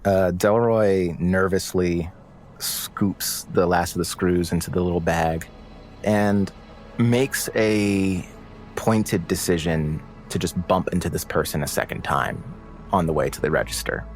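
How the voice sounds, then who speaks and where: Deep-voiced man improvising a story. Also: measured pace, faint music behind. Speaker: player narrating own character. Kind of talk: improvised tabletop game storytelling.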